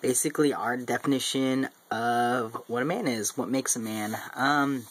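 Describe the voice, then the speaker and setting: A man's voice: mid-range voice, steady tone, moderate pace, slight background noise. A young man from Central Texas with a faint Texan accent, a regular vlogger talking casually to camera, rambling with lots of ums and restarts. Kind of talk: casual vlog monologue.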